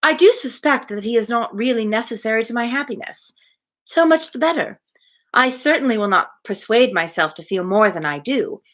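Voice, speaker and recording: A woman voicing a self-assured young genteel woman from a novel, reasoning aloud in a measured, emphatic way. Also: a little high, animated, fairly bright tone. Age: young adult.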